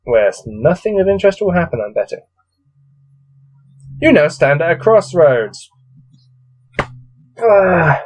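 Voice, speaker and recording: A man's voice: on the high side, sing-song, slow, pauses often. A man hosting a gamebook read-through, mixing read-aloud narration with casual, bored and exasperated commentary. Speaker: host reading a gamebook aloud.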